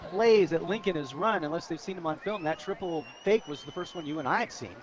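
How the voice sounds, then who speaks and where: A man's voice: fairly high pitch, fairly quick. An American man giving conversational, explanatory color commentary on a live football radio broadcast. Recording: dull lo-fi outdoor radio broadcast.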